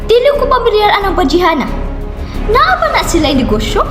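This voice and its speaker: A woman's voice: very high voice, wildly swooping pitch, a little fast, heavily acted. A woman playing a domineering, snobbish rich mother in an amateur radio drama, stern and scolding. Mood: disapproving. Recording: echoey reverberant room, music behind.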